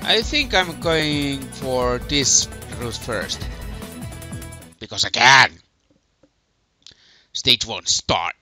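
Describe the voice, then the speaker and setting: A young man hosting a casual video game walkthrough, talking in a halting way over game music. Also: mid-range voice, animated, pushed and bright. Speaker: gameplay video host.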